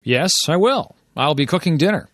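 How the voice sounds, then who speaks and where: A man's voice: a little high, lively intonation, moderate pace, no room sound, studio quality. A man playing an easygoing, reassuring friend answering a call in scripted lesson dialogue for language learners.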